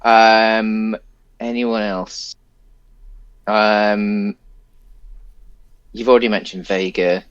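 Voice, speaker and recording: A man with a fairly low voice talks casually as a podcast co-host. His delivery is hesitant and rambling as he works through his thoughts on a film, and the sound is very dry, with hardly any room on it. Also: little pitch movement, extremely slow, pauses often, smooth, dry recording, dull audio.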